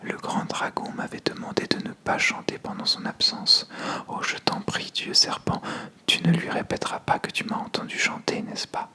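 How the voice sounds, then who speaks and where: A storyteller voicing a small, gentle, trusting male songbird in a whispered fable. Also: moderate pace, natural voice. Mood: pleading.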